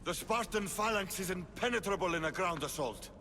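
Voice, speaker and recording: An actor playing Thaletas, a proud, blunt Spartan commander in a game cutscene. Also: male voice, sits high, a little fast, bright, forceful tone, big-room sound, slight background noise. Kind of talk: video game cutscene acting.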